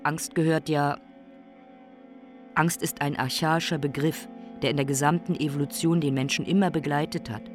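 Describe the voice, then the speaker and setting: An actor playing a brooding, philosophical ailing man in a radio play monologue, speaking in deliberate, emphatic short phrases. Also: mid-range voice, steady tone, faint music behind, close to an everyday voice. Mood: brooding, reflective.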